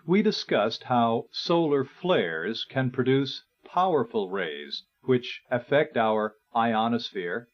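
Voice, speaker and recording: A man reading a scripted instructional narration in a measured, explanatory way. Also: mid-range voice. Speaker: narrator and presenter. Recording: dull, lo-fi 1980s recording.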